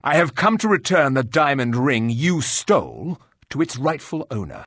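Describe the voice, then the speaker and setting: A terse, impatient warrior from a fantasy game. He is husky and middling in pitch, with emphatic swings in intonation and a dry, close sound. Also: big pitch swings, normal speed, a bit bright, no echo. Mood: stern, businesslike. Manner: blunt, terse, rude. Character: blunt, impatient resurrected warrior, gruff male hero. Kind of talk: fantasy video game dialogue acting.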